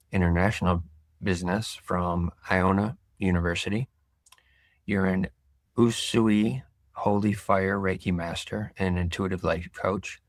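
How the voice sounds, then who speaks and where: Man, podcast host, very deep voice, flat intonation, relaxed, dry close mic. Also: dry recording. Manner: relaxed, reflective, with filler pauses. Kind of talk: casual interview.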